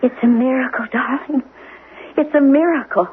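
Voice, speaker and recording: An actress playing a loving, relieved wife in a radio melodrama, insistent and emphatic. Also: female voice, high voice, normal speed, close to an everyday voice. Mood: fervent. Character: relieved, loving wife reassuring her man. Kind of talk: melodramatic radio drama acting. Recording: muffled lo-fi radio with faint noise.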